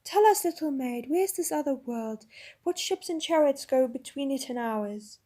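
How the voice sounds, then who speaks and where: A woman voicing an enchanting witch queen who speaks softly, slowly and coaxingly. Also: high-pitched, animated. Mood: mocking. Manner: soft, slow, lulling.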